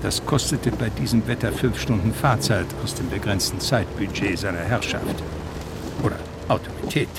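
A man's voice: fairly low pitch, moderate pace, very noisy, close to an everyday voice. A man reading scripted literary narration for a radio play.

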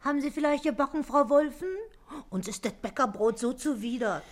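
An actress playing a nosy, sponging, giggly wife in a period stage comedy, chatty and wheedling. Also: female voice, high voice, a little fast, natural voice. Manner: wheedling, chatty, laughs often.

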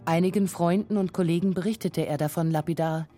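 A woman narrating a scripted radio feature in a sober, measured manner. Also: fairly low pitch, fairly even intonation, warm tone, faint music behind. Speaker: documentary narrator.

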